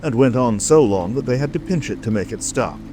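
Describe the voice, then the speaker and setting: A man's voice: mid-range voice, lively intonation, a little fast, noisy background. A man reading scripted story narration as an audiobook narrator. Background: music.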